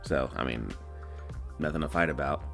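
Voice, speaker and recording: Man, very low voice, laid-back drawl, casual co-host, faint music behind. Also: normal speed, gravelly, fairly bright tone. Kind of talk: casual wrestling chat.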